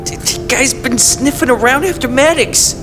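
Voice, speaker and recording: A man's voice: very high-pitched, moderate pace. An actor playing a sullen, hot-headed young henchman in a video game, petulant and defensive. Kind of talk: video game cutscene acting. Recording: long reverb with loud music behind.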